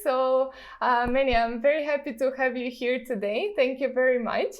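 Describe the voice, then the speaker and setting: Female interviewer for a podcast, fairly high voice, conversational delivery. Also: normal speed, lo-fi sound. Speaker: podcast host.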